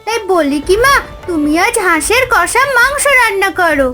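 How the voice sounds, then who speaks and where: Bright, squeaky eager little village girl. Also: lively intonation, normal speed, soft background music, heavily acted. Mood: persuasive. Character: eager young village daughter, sweet and pleading. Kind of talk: animated fairy-tale cartoon dialogue.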